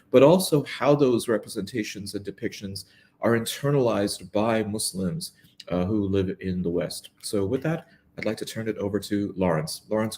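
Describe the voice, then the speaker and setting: A man chairing a conference session, introducing the next speaker in a measured way with fillers. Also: fairly low pitch, a little mellow, a little hiss or hum.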